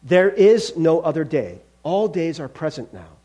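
A man's voice: a little high, big pitch swings, measured pace, background noise. A man voicing a stern, insistent angel in a story reading, with a grave and unyielding manner. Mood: stern, calm.

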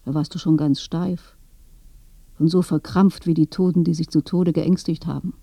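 A weary old village woman speaking from the grave in a radio drama. Her voice is very dark and soft, close and dry, with a disillusioned, matter-of-fact delivery. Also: low voice, fairly even intonation, moderate pace, no echo. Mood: dry, indifferent. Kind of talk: German radio play acting, intimate dialogue.

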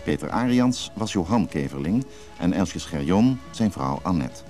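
Very low, mellow male radio announcer. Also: animated, measured pace, soft background music. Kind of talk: scripted radio credits announcement.

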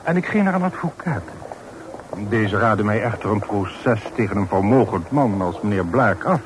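A man's voice: lowish voice, lively intonation, normal speed, natural voice. An actor in a Dutch radio play, playing an earnest, deferential townsman who recounts things formally and politely. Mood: earnest, indignant. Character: earnest, deferential townsman reporting troubling news to a gentleman. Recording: noisy old radio play recording.

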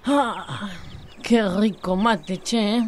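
A woman's voice: medium pitch, very slow, slight background noise. A woman playing a spirited, nosy country heroine with an Argentine accent in a radio play. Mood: chatty.

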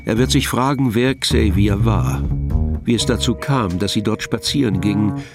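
A male narrator of a radio play with a lowish voice and noticeable creak. His delivery is measured and matter-of-fact. Guitar music plays clearly behind him. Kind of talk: scripted narration in a radio drama.

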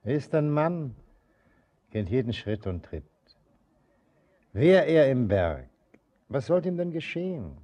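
An actor playing a kindly older father in a theatrical German radio drama, calming his daughter with a deep, husky voice and measured, gently reasoning delivery. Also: full character voice. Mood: reassuring.

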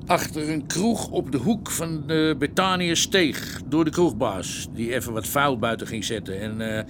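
A man's voice: mid-range voice, moderate pace, background noise, close to an everyday voice. A man playing a middle-aged veteran policeman in a radio drama, deferential, plain-spoken and wry. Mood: matter-of-fact. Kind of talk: Dutch radio drama acting.

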